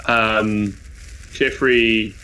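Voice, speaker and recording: Halting man with a bright voice. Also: fairly low pitch, fairly even intonation, a little hiss or hum, poor audio. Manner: halting, thinking aloud. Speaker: player in tabletop game podcast. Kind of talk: improvised narration of own character.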